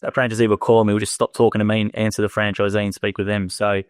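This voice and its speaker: A male podcast host who talks quickly and keeps up an encouraging tone. He asks follow-up questions one after another. His voice sits at a middle pitch and is recorded dry and close. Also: little pitch movement, clear, resonant tone, no room sound. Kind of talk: interview podcast.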